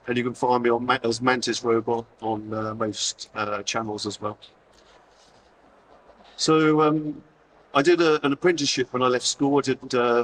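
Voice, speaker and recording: Hoarse middle-aged male speaker, informal and self-deprecating, mid pitch, picked up off-mic in a faintly noisy hall. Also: fairly bright tone, far from the mic. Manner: informal, self-deprecating, lots of fillers and restarts. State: hoarse, losing his voice. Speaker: conference speaker. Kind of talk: live talk to an audience. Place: convention hall stage.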